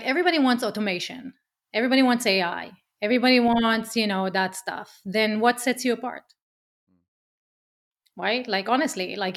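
A woman with an Israeli accent, a podcast guest talking business in a lively, emphatic way, often tagging lines with "right?". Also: on the high side. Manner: energetic, emphatic, keeps asking "right?", swears casually. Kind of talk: casual interview chat.

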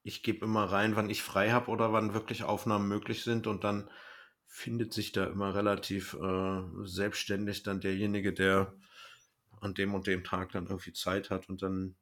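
Man, low voice, podcast host, casual chat, explanatory, thinking aloud. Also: little pitch movement, moderate pace, fairly bright tone.

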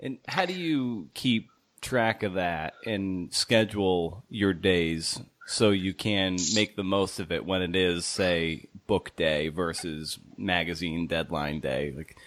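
Deep-voiced man hosting a casual interview. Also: slow, fairly bright tone, no echo. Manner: long, rambling informal questions. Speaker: podcast host, interviewer.

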